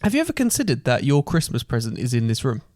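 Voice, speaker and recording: A man's voice: mid-range voice, wildly swooping pitch, quick pace, a little mellow, no room sound. Man chatting, close dry sound. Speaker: podcast co-host. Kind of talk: casual chat.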